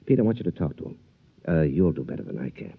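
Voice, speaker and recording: An actor playing a determined, honest professional golfer in a 1950s radio drama. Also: male voice, a bass voice, big pitch swings, quick pace, gravelly, husky, faint music behind, heavily acted. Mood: scheming. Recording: dull old radio broadcast.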